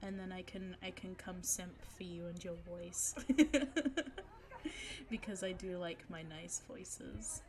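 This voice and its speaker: A young woman streamer chatting casually with viewers, giggling and teasing herself, her voice a little low for a woman and her throat sore. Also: slow pace, slight background noise. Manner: chatty, giggly, self-mocking. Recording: music playing behind the voice.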